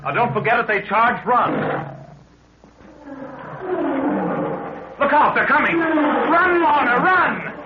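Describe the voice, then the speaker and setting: An actor playing a brave, earnest young expedition assistant in a theatrical 1930s radio adventure style. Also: male voice, very high voice, lively intonation, measured pace. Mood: urgent alarm. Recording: muffled, noisy 1930s radio recording.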